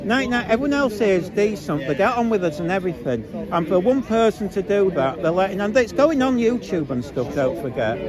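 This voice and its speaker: A man's voice: high-pitched, sing-song, brisk, hardly any pauses. A man arguing and complaining indignantly to police on a city street, stopping and restarting as he goes. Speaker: protester filming a street confrontation. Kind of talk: heated street argument. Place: outdoor city street at a protest. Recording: street recording with crowd noise. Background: loud protest crowd.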